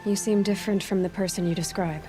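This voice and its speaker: An actress playing a young huntress heroine in a video game, speaking in a measured, direct, questioning and matter-of-fact way. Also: female voice, medium pitch, steady tone, dark tone, faint music behind, lightly acted. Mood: calm, curious. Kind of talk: video game character acting.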